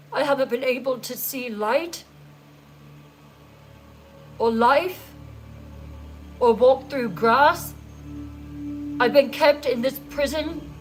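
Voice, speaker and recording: Storybook princess, teen, shut in a tower, bitter and loud, read by a woman; music bed. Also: sits high, poor audio. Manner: bitter, cries out in protest. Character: anguished teenage princess locked in a tower.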